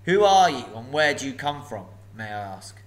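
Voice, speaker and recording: A man voicing a sly, menacing old male dragon, slow and mocking. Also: mid-range voice, very animated, lightly acted. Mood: sneering.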